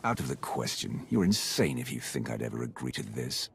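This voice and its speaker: Very deep, gravelly Sith commander. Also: male voice, big pitch swings, fairly quick, a little hiss or hum, exaggerated voice. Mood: stern. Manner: stern, authoritative. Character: commanding dark Sith warrior commander, stern adult man. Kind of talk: video game cutscene acting.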